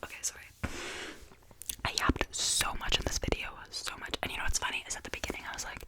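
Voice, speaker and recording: A woman's voice: fast talker, pushed and bright, rough recording. Young woman whispering chatty ASMR rambles. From Canada. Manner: whispers chattily with many fillers and restarts. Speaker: ASMR creator.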